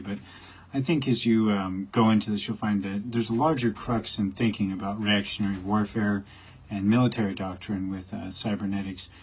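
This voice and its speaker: A man giving an informal explanatory talk as a project's creator, in a halting, rambling manner. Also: lowish voice, husky. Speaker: creator presenting his project. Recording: slightly dull, lo-fi recording.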